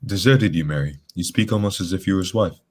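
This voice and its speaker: A man's voice: low voice, fast, darkish tone. A man playing a shrewd, composed detective in a radio drama, speaking formally and matter-of-factly. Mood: shrewd, probing.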